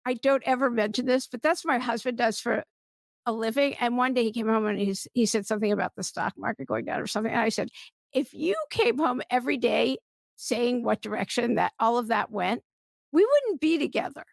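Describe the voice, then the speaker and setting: A woman hosting a podcast, chatting casually and informally in a halting, conversational way. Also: on the high side, gravelly, dry recording. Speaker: podcast host.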